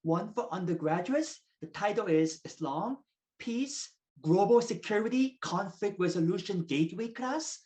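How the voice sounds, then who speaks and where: A man in his thirties, a native Korean speaker raised in Russia, explaining as a guest professor in measured, lecture-like answers. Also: high voice, expressive, mellow. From South Korea, raised in Russia. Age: 30s.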